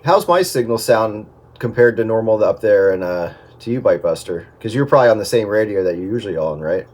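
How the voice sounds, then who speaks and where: A man's voice: fairly low pitch, lively intonation, fairly quick, darkish tone. A man chatting casually as a radio operator over a two-way radio with some noise. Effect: two-way radio transmission. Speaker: amateur radio operator in a group check-in. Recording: noisy two-way radio audio.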